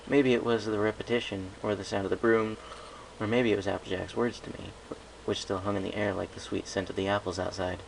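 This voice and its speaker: A man's voice: lowish voice, level tone, fairly quick, creaky, slight background noise, low-quality recording. A man narrating a first-person story from a script, in a home recording. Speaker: fan fiction reader.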